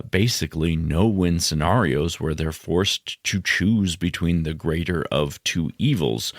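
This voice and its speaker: A man hosting a solo podcast, explaining ideas in a measured way with some hesitant pauses. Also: a bass voice, gravelly, dry recording, clean studio sound. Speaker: podcast host.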